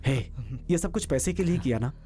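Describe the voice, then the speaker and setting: An actor playing a shrewd middle-aged uncle, coaxing and persuasive in dramatic dialogue. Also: male voice, a little high, expressive, brisk, warm tone, close to an everyday voice. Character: shrewd older uncle persuading a younger accomplice. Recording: music behind dialogue.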